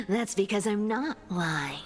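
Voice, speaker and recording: A sly young thief in a video game. She is cocky and teasing, with a lively, swinging pitch and slow, drawn-out pacing over soft music. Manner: teasing, cocky, laughing often. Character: sly, brash young female thief, impatient and playful. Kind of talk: video game story voice acting.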